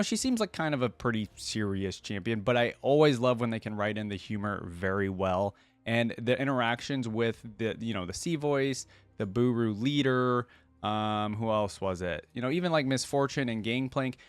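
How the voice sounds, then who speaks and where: Low-voiced man, dry close-mic reaction commentary. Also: smooth, no echo. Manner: informal, conversational, addresses viewers. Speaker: host of a reaction channel.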